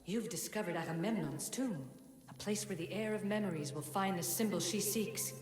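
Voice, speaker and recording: A woman playing an ancient female spirit guide in a game cutscene, solemn, measured and cryptic, speaking formally like an oracle. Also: medium pitch, natural voice. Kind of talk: dramatic game cutscene acting. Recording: echoing long reverb, music behind.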